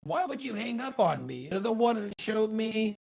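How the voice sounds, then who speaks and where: A man voicing a befuddled, indignant phone caller through a voice changer, halting, repetitive and argumentative on an improvised prank call. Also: high-pitched, sing-song, vocal fry, a crowd in the background, exaggerated voice. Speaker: scambaiter.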